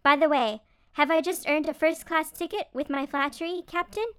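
A man's voice: extremely high pitch, steady tone, normal speed, bright, forceful tone, dry recording, voice pushed up. A man voicing a chatty, teasing, motherly female beaver in a storybook, with an emphatic, playful manner. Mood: reassuring, chatty.

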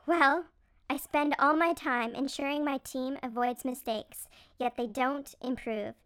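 A high, dry-sounding voice for a storybook beaver leader played by a woman. She is strict and self-critical, a female boss worn down by worry. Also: fairly even intonation, measured pace, a bit bright, smooth, no room sound. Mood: weary, frustrated. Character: stern, stressed female beaver team leader. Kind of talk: acted storybook character dialogue.

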